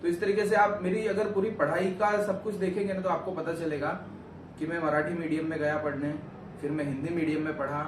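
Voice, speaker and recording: A man's voice: a little high, flat delivery, a little fast. Earnest young man, echoey and distant. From Gujarat, India. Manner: rambling, earnest, self-correcting. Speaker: vlogger answering questions. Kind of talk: casual interview chat. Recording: echoey room, far from mic, noisy.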